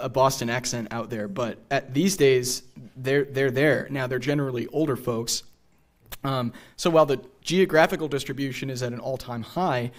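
A young American man delivers a public lecture from a theater stage. His voice is mid-pitched, and he mixes prepared points with casual asides into a podium microphone. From New England, USA. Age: young adult.

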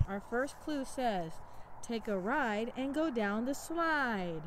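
Woman, high voice, sing-song, encouraging, kids' vlog style, noisy outdoor handheld recording. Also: animated, slow. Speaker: co-host filming a child's scavenger hunt. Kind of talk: playful kids' vlog chat, reading clues aloud. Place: outdoors at a playground and yard.

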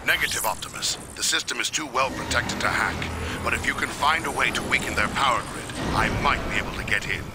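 An actor playing a practical, wry robot technician briefing the team in a video game, brisk and matter-of-fact. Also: male voice, medium pitch, over loud music, lightly acted.

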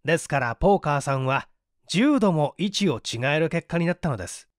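An assured male detective scientist read by a man for a mystery audiobook. He lays out deductions patiently and articulately, like a teacher. The voice is highish and very animated, recorded dry. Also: brisk, dry recording, natural voice. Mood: patient, instructive. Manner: didactic, articulate. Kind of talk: dramatised audiobook reading.

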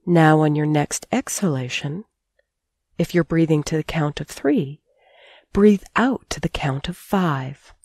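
Woman, deep-toned, soft relaxed timbre, calm meditation teacher, measured phrasing, long pauses. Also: no echo, clean studio sound. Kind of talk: guided sleep meditation narration.

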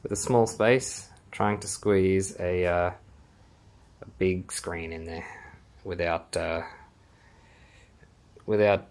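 A man speaks in a low, creaky voice at a slow, unhurried pace. He talks casually with frequent fillers and pauses as he walks a phone camera around his small basement room. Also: steady tone, darkish tone. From Australia. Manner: unhurried, halting with many fillers. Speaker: home theater owner giving a room tour. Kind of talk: casual walkthrough narration. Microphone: handheld phone camera, filming while walking.

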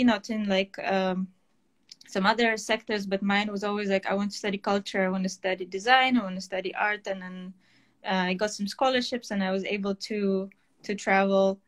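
A woman in her thirties from Estonia, an artist answering interview questions on a video call, thoughtful with many hesitations. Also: medium pitch. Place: home room, indoors. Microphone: laptop webcam on video call.

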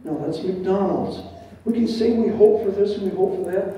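A man's voice: sits high, normal speed, warm tone, slight background noise. An older man preaching a sermon in a conversational way, asking his congregation questions and adding asides. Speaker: pastor. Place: large dim church hall with stage. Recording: roomy and dull from far off.

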